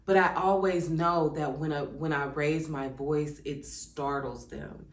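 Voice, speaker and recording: Woman in her 30s chatting to camera, low voice, lots of ums and likes, roomy echo. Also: steady tone, measured pace. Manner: conversational, reflective, many "um" and "like" asides. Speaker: channel host. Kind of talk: casual reflective vlog, reading from a workbook. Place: indoors at home, seated. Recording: echoey room.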